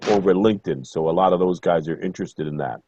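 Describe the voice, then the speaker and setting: A man's voice: deep voice, fast, a little mellow, husky. A man who has joined a webinar call, talking in a rambling, hesitant way with lots of fillers. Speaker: webinar participant. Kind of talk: casual call-in chat. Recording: dry, dull online call audio.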